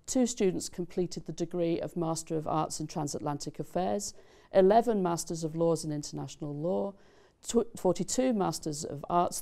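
Middle-aged woman giving a formal dean's speech, mellow lowish voice, slow and stately. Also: vocal fry. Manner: measured, deliberate, stately delivery. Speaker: dean giving commencement remarks. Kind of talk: formal prepared ceremonial speech. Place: studio set with screens, indoors.

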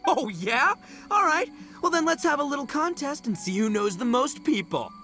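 A man playing a brash, boastful young oni gang boss in a video game, loud, swaggering and casual. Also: extremely high pitch, sing-song, moderate pace, over loud music.

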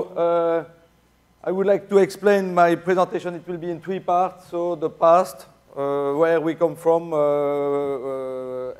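A man in his forties with a Belgian French accent, giving a conference talk on a dark stage with many fillers and restarts. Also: fairly high pitch. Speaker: keynote speaker. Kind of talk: conference presentation.

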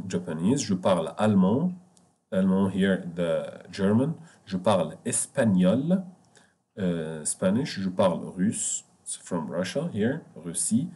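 A man teaching French beginners over a screen share, explaining slowly and repeating points with many fillers. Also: low-pitched, dark tone. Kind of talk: online screen-share lesson.

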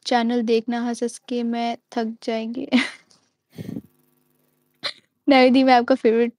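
A woman's voice: a little high, level tone, slow, darkish tone, dry recording. Giggly young woman on a dry phone mic. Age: young adult. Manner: giggly, playful, switches into English. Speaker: live stream co-host. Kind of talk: casual live chat reading comments. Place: indoors at home, small room. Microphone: wired earphone mic on a phone.

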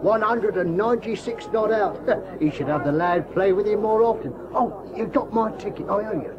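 An actor playing a chatty, amused cricket spectator in a radio play. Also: male voice, high voice, normal speed, natural voice. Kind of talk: 1980s radio drama acting. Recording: muffled radio play with crowd behind.

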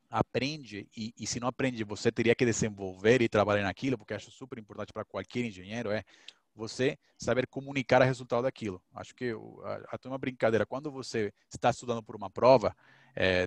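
A man's voice: on the low side, fairly quick, no room sound. A man in his thirties, an engineer, talking through a headset on a video call panel, rambling with many restarts. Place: indoors, home office with shelves.

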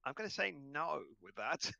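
A man's voice: fairly high pitch, big pitch swings, a little slow, vocal fry, pushed and bright, no room sound. A man casually running an online tabletop game as its game master.

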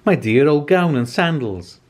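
A man's voice: fairly high pitch, expressive, measured pace, darkish tone, pitched above the performer's own voice. A man voicing a kindly, cheerful storybook giant with a singsong delivery. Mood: delighted. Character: kindly, cheerful giant George, singing to himself.

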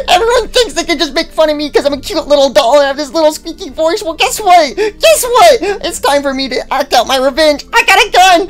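A man voicing a tiny vengeful female doll with a squeaky voice, talking in slow hushed menace that builds to shrieking rage. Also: heavily acted.

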